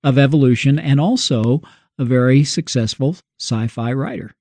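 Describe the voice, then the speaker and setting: A man co-hosting a conversational religious commentary, explaining in a measured way and posing questions that he answers himself. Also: mid-range voice, warm tone, no echo. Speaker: co-host.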